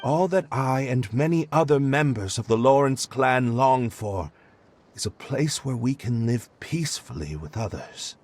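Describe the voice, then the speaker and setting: A drained young noble from a game, talks slow n formal, kinda hesitant and sorry. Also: male voice, medium pitch, lively intonation, a little mellow, soft background music. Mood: earnest, remorseful. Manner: hesitant, halting, earnest and formal. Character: weary, disoriented young nobleman, pompous upbringing, remorseful. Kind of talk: video game cutscene acting.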